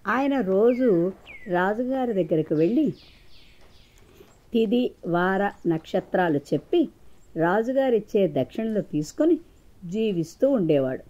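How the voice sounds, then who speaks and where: A female storyteller narrates a children's moral tale from a prepared script. Her voice sits at an average pitch for a woman, and she delivers the story as scripted narration. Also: animated, measured pace, pauses often, warm tone, muffled recording.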